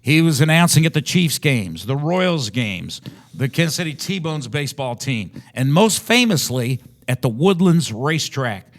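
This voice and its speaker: A man's voice: a little high, very animated, normal speed. A man co-hosting a podcast in casual chat, with a folksy, bantering way of talking. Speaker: podcast co-host.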